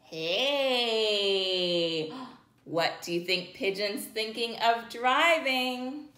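A woman in her thirties leading an interactive read-aloud, putting questions to young children in an animated way. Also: on the high side, extremely slow. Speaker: storytime reader for children. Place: indoors, small plain-walled room. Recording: echoey room.

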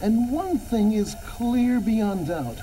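A man narrates dramatically in a high, excited voice. It sounds like an archival documentary soundtrack, with clear noise behind the words. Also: slow pace, low-quality recording.

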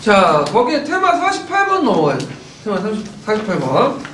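A man in his forties gives an animated, chatty lecture in a classroom. His voice sits high and swings widely, and it comes across slightly hoarse. He is picked up from afar, with the room echoing around him. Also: normal speed, recorded from a distance, noisy background. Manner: animated, chatty, gestures while explaining. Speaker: lecturer for teacher-exam prep. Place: classroom with whiteboard. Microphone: far camera.

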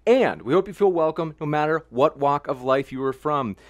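A man hosts an online debate, giving cheerful welcoming announcements. His voice sits fairly high. He speaks into a studio microphone. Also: expressive, moderate pace. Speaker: debate moderator. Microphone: studio mic on arm.

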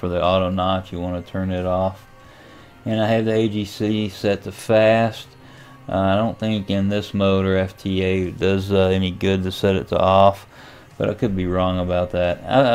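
A man giving an informal, step-by-step hobbyist walkthrough of software and equipment. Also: lowish voice, clean tone. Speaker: amateur radio hobbyist giving a tutorial. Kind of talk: casual software and radio walkthrough.

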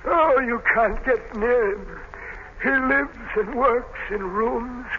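An actor playing a fearful, resigned man in a villain's prison, halting and full of warning, in 1930s radio drama. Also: very high voice. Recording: tinny, noisy 1930s radio recording.

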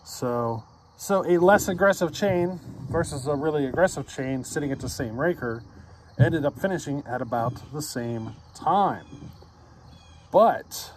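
A man's voice: fairly high pitch, slight background noise. A man in his forties hosting a chainsaw channel, explaining his results in a chatty way straight to a phone camera outdoors, often asking "you know what I mean?". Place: outdoors by trees, at a picnic table. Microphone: phone camera close up at arm's length.

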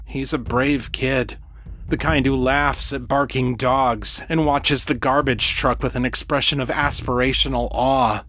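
A man's voice: mid-range voice, steady tone, unhurried, a bit bright, a little hiss or hum. A man reading a literary short story as a scripted first-person narration.

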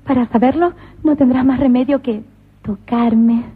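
A woman's voice: sits high, steady tone, measured pace, breathy edge, heavily acted. A woman voicing a flirtatious, teasing woman prisoner in a comic radio play. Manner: teasing, giggly. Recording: tinny lo-fi radio recording.

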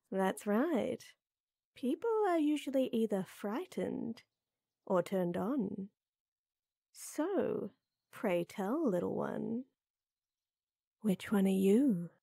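A woman playing a tall, strong lady knight who flirts and teases softly and coyly in a roleplay audio drama. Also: medium pitch, expressive, drawn-out words, vocal fry, long pauses, husky, no echo, studio quality, exaggerated voice. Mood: amused, flirty. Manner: teasing, coy, soft and intimate with chuckles.

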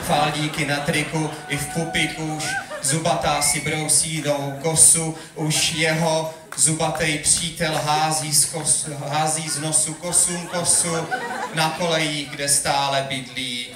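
A young man with a slightly high voice delivers slam poetry with theatrical, rhythmic wordplay. The room echoes around him, and an audience is clearly audible in the club. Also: flat delivery, a crowd in the background. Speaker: slam poet competing on stage. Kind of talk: performed slam poetry recitation. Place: live on stage in a club. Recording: live club hall echo, audience noise.

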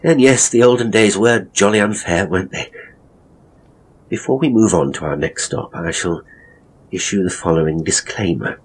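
A man playing a pompous, theatrical ghost tour guide, telling gruesome tales with suspenseful, drawn-out phrasing in a horror audio story. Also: low-pitched, a little hiss or hum, lightly acted, poor audio. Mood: affronted, defensive.

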